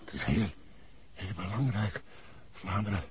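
A man's voice: sits low, expressive. An actor playing a badly injured, barely conscious man in a 1960s radio drama, struggling weakly to get words out. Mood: weak, desperate. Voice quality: weak, halting, breathy gasps. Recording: dull vintage radio drama recording.

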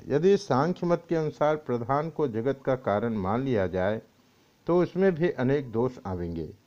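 A man reading scriptural commentary and verse aloud in a deliberate, measured way with pauses. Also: mid-range voice, warm tone. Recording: dull lo-fi recording.

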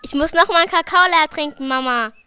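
A high, childlike performer playing an excited young daughter in an amateur school radio play, with simple, halting delivery. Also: dry recording. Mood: eager. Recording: noisy amateur school recording.

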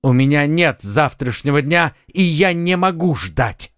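A man's voice: on the high side, animated, a little slow, fairly bright tone, no echo. An ardent young Gascon swordsman exclaims with passion in a slightly raised voice, as a male narrator reads him. The sound is dry and close-miked. It is an audiobook reading. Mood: bold. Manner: impassioned, exclaiming.

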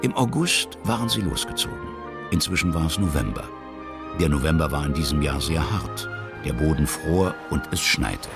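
A man's voice: animated, moderate pace. A man narrating a historical children's adventure as scripted radio drama storytelling. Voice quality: very deep, gravelly. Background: music.

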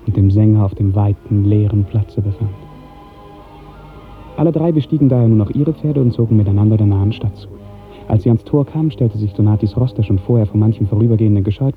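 A man narrating a literary radio drama in 1950s German style, with measured, lyrical storytelling. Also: fairly low pitch. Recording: muffled, narrow-band 1950s radio recording.

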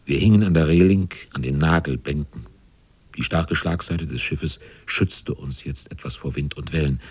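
Casting: male radio drama narrator, rock-bottom register, raspy, dry measured delivery. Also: no room sound. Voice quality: very deep, husky.

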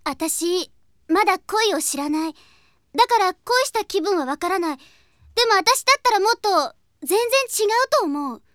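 Very high, girlish rookie idol. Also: animated, moderate pace, a bit bright, no echo, clean studio sound. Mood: earnest. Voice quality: girlish, cute anime voice. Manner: frank, naive, stubborn. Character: earnest, headstrong teenage girl rookie idol. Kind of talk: anime-style audio drama acting.

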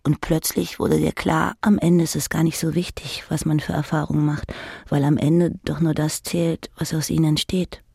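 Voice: medium pitch, flat delivery, moderate pace, vocal fry, a little mellow, slightly rough, no room sound, clean studio sound. A narrator reading literary prose in the second person, speaking intimately to the listener.

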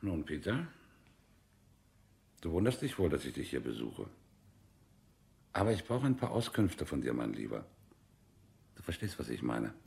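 An actor playing a menacing, commanding secret police captain in a radio drama, a sneering bully with a sharp manner. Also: male voice, rumbling low, very animated, moderate pace, creaky, pauses often, full character voice. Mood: mock-friendly.

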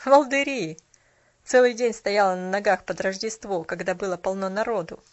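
A woman's voice: mid-range voice, normal speed, lo-fi sound, close to an everyday voice. A woman doing a weary, bitter shopgirl. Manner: cool, tired, faintly bitter. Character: weary, bitter young shop cashier woman.

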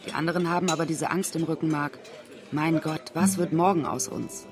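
A woman's voice: sits low, steady tone, brisk, over music. An actress voicing an outspoken woman writer in a scripted radio voice-over translation. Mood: earnest.

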